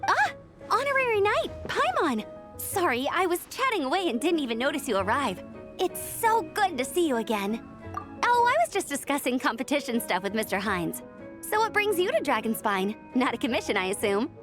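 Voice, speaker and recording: A woman's voice: high voice, sing-song, normal speed, a bit bright, faint music behind. A woman voicing a perky, friendly young female knight in a video game, eager and chatty. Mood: bubbly, friendly.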